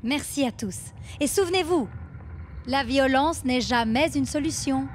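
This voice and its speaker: A woman's voice: sits high, measured pace, slight background noise. An actress playing a condescending, controlling woman who leads a talk group in a radio fiction, mock-sweet and sardonic. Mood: sardonic, dismissive. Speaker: session leader.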